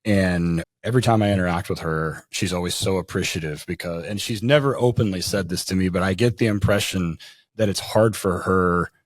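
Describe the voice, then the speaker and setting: Deep-voiced male co-host, easygoing. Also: brisk, no room sound. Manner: conversational, long winding questions. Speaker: podcast co-host. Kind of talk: casual podcast interview.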